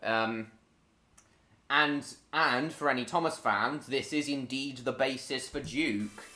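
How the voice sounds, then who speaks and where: A man's voice: mid-range voice, slow pace, sharp tone. A man hosting a live stream, chatting casually and rambling to his viewers as he reacts to videos. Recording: echoey room.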